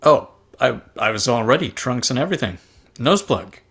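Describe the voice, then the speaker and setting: A man's voice: mid-range voice, very animated, moderate pace, fairly bright tone, slightly rough, heavily acted. A man playing a jovial middle-aged husband who tells jokey anecdotes in a sci-fi table read. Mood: jovial, storytelling. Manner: jokey storytelling.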